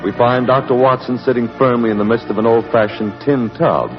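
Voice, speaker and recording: A muffled 1940s radio recording with prominent organ music behind a male announcer. He narrates and hosts in a scripted broadcast style at a medium pitch. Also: fairly even intonation, fairly quick.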